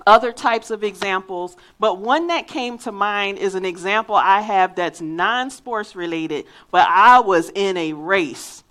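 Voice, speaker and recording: A woman's voice: medium pitch, a little slow, hardly any pauses, fairly bright tone. A woman from Philadelphia with a faint Philadelphia accent, preaching a sermon in an earnest, emphatic way and weaving in a personal story. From Philadelphia, USA.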